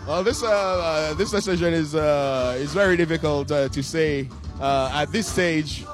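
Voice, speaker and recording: A man being interviewed as a pageant judge at a live evening event, talking in a halting, playful way with many fillers. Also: on the high side. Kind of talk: joking on-the-spot interview. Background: music playing.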